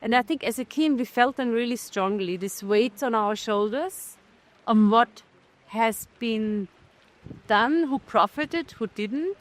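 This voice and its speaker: A middle-aged woman from Austria, a documentary filmmaker answering festival interview questions in a thoughtful way, pausing and restarting as she looks for words. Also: a little high.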